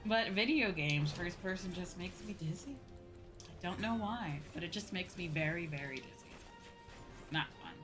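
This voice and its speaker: A woman's voice: fairly low pitch, animated, normal speed. A woman livestreamer talking casually and informally to her viewers while she plays, with some casual swearing. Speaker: livestreamer playing online games and chatting with viewers. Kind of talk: casual gaming stream chat. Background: game music.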